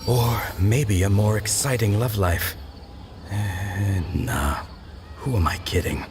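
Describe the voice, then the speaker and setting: A man's voice: fairly low pitch, takes it slowly. A man doing casual gameplay commentary as a video game streamer. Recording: noisy, with game ambience behind.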